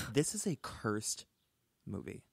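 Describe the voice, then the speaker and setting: A young man co-hosting a podcast, telling a story in a chatty, animated way with lots of "like" and restarts, on a close studio mic. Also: on the low side, slow pace, vocal fry, husky, no echo. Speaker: podcast co-host. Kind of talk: casual storytelling chat.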